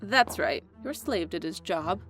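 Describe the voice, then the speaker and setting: A woman's voice: lowish voice, lively intonation, unhurried, fairly bright tone. An actress playing a haughty, scheming, scornful woman in an audio drama, imperious and sneering, over music. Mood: mocking, condescending. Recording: music underneath.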